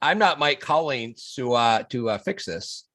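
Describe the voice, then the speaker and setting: Regular guy cohost on a stream call, mid pitch, kinda hesitant, just chatting. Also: animated, measured pace, bright, forceful tone. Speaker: show co-host. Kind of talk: casual live-stream chat. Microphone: online call.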